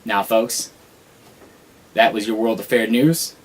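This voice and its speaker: A man's voice: lowish voice, steady tone, slow pace, breathy edge. Loose, stumbling man playing a news anchor. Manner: loose, hesitant, stumbling over words. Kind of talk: casual student skit newscast.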